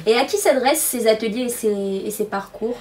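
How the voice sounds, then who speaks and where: A woman's voice: on the high side, fairly quick, a little mellow, far from the mic. A woman acting as interviewer, putting informational questions to a guest.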